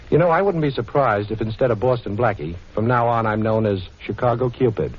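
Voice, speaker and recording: An actor playing a cocky, chivalrous amateur sleuth in a 1940s radio crime drama, breezy and reassuring. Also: male voice, medium pitch, lively intonation, moderate pace, natural voice. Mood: brisk, confident. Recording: muffled, noisy 1940s radio recording.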